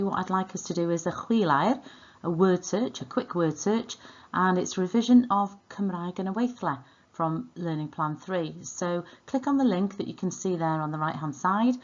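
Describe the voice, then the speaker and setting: A Welsh woman speaks as a teacher, in a fairly low voice. She gives clear instructions for an online language lesson and repeats words so learners can follow. The recording is slightly dull and lo-fi.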